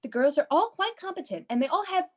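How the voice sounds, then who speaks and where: A woman playing a high, swooping, motherly bureau manager. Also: expressive, quick pace. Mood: polite, businesslike. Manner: chirpy, solicitous, swooping politeness. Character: fussy, motherly woman running a college babysitting bureau. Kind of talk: classic radio play acting.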